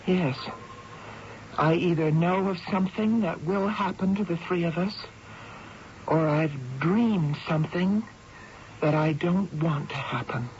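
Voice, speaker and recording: Woman acting a shaken estranged wife in an old radio play, dramatic and halting, muffled broadcast sound w music. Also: lowish voice, darkish tone, slight background noise, natural voice. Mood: uneasy, bewildered. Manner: hesitant, dramatic. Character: shaken, bewildered estranged wife recalling uncanny events.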